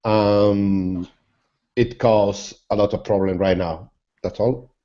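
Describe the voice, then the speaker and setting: A man's voice: fairly low pitch, steady tone, slow, warm tone, dull audio. A man from Spain, answering hesitantly in a learner's English during an online class. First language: Spanish. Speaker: student. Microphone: video call.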